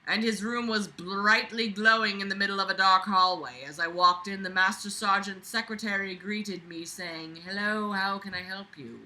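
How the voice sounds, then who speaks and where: Really bright sharp-voiced woman reading a book out loud, snarky and fed up, swears a lot while she rips into it. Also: medium pitch, steady tone, normal speed, few pauses, rough recording. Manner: sarcastic, scornful, swearing freely; theatrically exasperated asides. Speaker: reader reviewing a book aloud. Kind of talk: reading a book aloud with exasperated running commentary.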